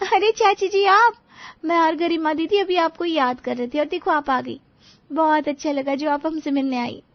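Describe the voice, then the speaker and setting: An eager, naive young wife from an animated family tale, voiced by a woman. Her high voice is earnest and respectful. The recording is dry and a little dull. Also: moderate pace, no echo. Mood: surprised, cheerful. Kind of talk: animated moral-story dialogue.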